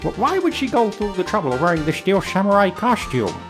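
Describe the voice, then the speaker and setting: A man's voice: sits high, big pitch swings, brisk, over music. A man playing a pompous, easily baffled old judge in a courtroom game, speaking formally and ponderously. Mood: pondering. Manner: formal, ponderous, puzzled questioning. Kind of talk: dubbed courtroom game acting.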